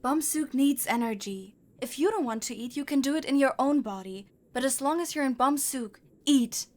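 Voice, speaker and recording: A woman acts a strict young woman in an audio drama. She is angry and commanding, her high voice jumping around in pitch. There is noise and clatter behind her. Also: expressive, normal speed, darkish tone. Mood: stern. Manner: stern, commanding. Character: strict, angry young female band member.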